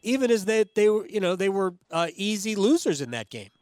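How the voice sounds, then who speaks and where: A man's voice: sits high, very animated, fairly quick, a little mellow, no echo, clean studio sound. A man hosting conversational sports talk, recalling things in an eager, opinionated, rambling way. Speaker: sports show host.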